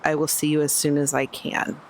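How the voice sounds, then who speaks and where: A man's voice: fairly high pitch, completely flat delivery, fairly quick, slight background noise, poor audio. A man talking casually as a player in a tabletop roleplaying game.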